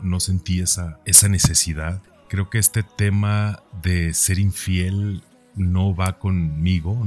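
A man's voice: low-pitched, a little mellow. A man in his forties hosting a podcast, talking through his own life in a conversational, introspective monologue, often checking his thoughts with a questioning tag. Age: 40s. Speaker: podcast host. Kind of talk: reflective personal podcast monologue.

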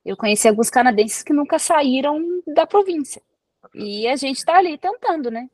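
A Brazilian woman speaks with a Brazilian Portuguese accent in an easy, chatty way, illustrating her points with everyday examples. Her voice sits somewhat high for a woman. The sound is close and dry, with no room echo. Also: animated, normal speed, darkish tone. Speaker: guest researcher. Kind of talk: casual interview conversation.

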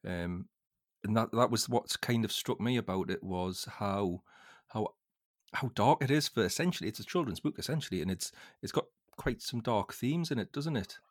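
Male podcast host, lowish voice, hesitant and thoughtful, casual book chat. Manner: hesitant, thoughtful, halting phrasing.

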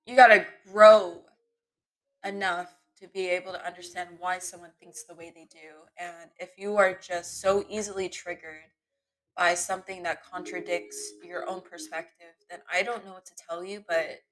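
A woman hosting her own podcast, talking off the cuff in a candid, rambling way, her voice sometimes smooth and sometimes raspy. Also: on the low side, steady tone, normal speed, low-quality recording. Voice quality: voice shifts between smooth and raspy. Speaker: podcast host. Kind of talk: unscripted solo podcast monologue. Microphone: computer mic in web browser.

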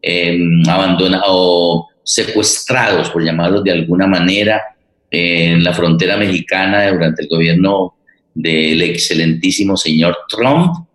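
An older man with a Colombian accent hosting an unscripted event, haltingly searching for his words. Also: low voice, steady tone, a bit bright. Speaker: host and theatre director. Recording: roomy, echoing voice pickup.

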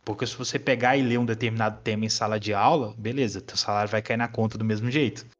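A man's voice: on the low side, steady tone, fast, muffled recording. A Brazilian man aged twenty-nine chatting casually as a history teacher, explaining things informally with slang and some swearing. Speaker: history teacher and podcast guest. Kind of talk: casual conversation.

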